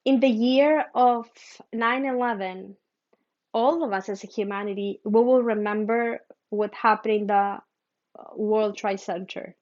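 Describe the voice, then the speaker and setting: A woman's voice: mid-range voice, steady tone, darkish tone. Woman, slightly muffled recording. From Mexico. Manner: halting, thoughtful, with humming pauses. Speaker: spiritual teacher. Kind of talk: spiritual teaching talk.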